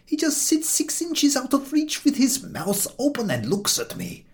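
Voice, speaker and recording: A man's voice: very high-pitched, wildly swooping pitch, measured pace, voice pushed up, heavily acted. A man voicing an exasperated traveller in an audiobook, complaining in an aggrieved, contemptuous way. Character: exasperated weary traveller saddled with a stray dog. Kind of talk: audiobook dialogue.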